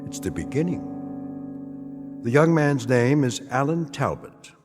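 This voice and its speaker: An actor playing an ominous, measured narrator of eerie tales in a radio drama, deliberate and foreboding. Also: male voice, medium pitch, big pitch swings. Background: prominent music.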